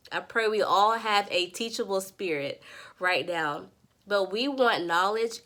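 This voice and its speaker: A congested, stuffy-nosed woman walks her viewers through scripture in a morning devotional vlog. She teaches in an earnest, preacherly way, urging listeners toward wisdom. Her voice sits at an ordinary pitch for a woman. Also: a bit bright. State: congested, nasal from a sinus infection. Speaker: Bible study vlogger. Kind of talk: devotional Bible summary vlog.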